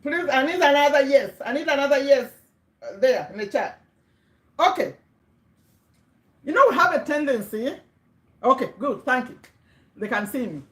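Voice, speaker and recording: A middle-aged Ugandan woman talks straight into a webcam, chatty and warm in pitch, repeating words to drive a point home. Her voice sits a little high for a woman. The room around her is bare and echoey. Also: expressive, moderate pace, long pauses. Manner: chatty, checks in with viewers, repeats words for emphasis. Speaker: livestream host. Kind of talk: casual motivational livestream.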